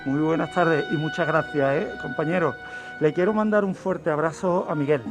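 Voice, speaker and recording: A man, telling true-crime cases as a guest criminologist on a radio show, explaining deliberately. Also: a little high. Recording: music bed under the voice. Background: background music.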